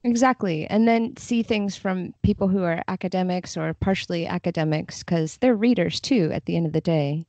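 A woman's voice: fairly low pitch, normal speed, hardly any pauses, warm tone, no echo. A woman chatting casually as a guest in an interview, on slightly dull audio. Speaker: podcast guest, freelance translator.

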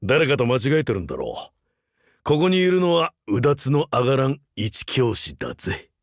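A male actor playing a rugged older vampire hunter turned teacher in a game, wry, laconic and gruffly fatherly. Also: medium pitch, sing-song, normal speed, dry recording, studio quality. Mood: wry, evasive. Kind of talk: Japanese mobile game character voice lines.

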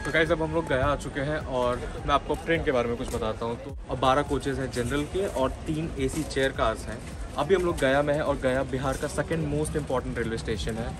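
A man's voice: mid-range voice, fairly quick. A young man with an Indian accent, giving casual travel commentary on a train journey and switching between Hindi and English mid-sentence. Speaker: travel vlogger. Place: on a train and station platform. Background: loud background music.

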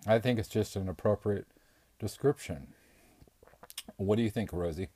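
A man's voice: fairly low pitch, normal speed, warm tone, dry recording, lightly acted. Smug, commanding circus bear, voiced by a man, curt and cool. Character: domineering male circus bear, smug and cruel.

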